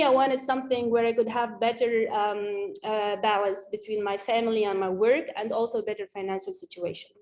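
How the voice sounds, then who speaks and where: Female speaker, slightly high voice, earnest and halting delivery of career advice. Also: darkish tone. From Tunisia. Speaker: conference speaker, data science team lead. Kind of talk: informal career advice presentation. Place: remote video conference.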